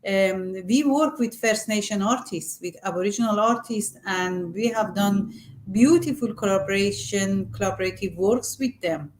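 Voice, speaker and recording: A middle-aged or older woman from Iran is being interviewed about her work. She has a Persian accent and a mid-range voice, and she speaks haltingly, often pausing to find her words in a second language. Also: mid-range voice, darkish tone, slight background noise, low-quality recording. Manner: halting, searching for words in non-native English. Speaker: arts group representative being interviewed.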